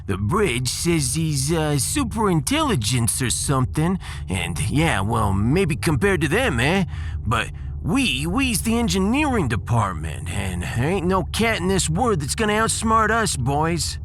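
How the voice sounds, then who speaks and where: A man's voice: a little high, big pitch swings, a little slow, fairly bright tone, slight background noise. A man playing a brash working-class spaceship engineering boss, in a comic audiodrama. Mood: cocky. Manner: brash, colloquial wise-guy talk.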